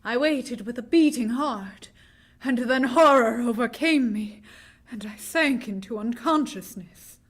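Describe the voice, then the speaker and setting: A woman's voice: on the high side, unhurried, slightly rough. An actress playing a frightened young Victorian woman, telling her tale dramatically in a vintage radio drama. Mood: entranced dread.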